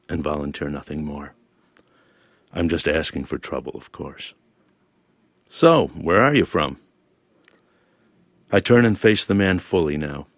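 A man's voice: low voice, no room sound. A man, born in Lebanon and raised in Ohio, reading his personal essay and then answering interview questions in a thoughtful, wry, self-questioning way. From Lebanon-born, raised in Toledo, Ohio. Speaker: author and interview guest.

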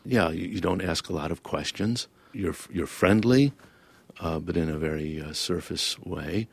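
A very deep-voiced man with a gravelly rasp, reading his own essay and then talking it through in interview. He is thoughtful and wry, and the sound is close and dry. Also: unhurried, no room sound. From Lebanon-born, raised in Toledo, Ohio. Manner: thoughtful, wry and self-questioning. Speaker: author and interview guest.

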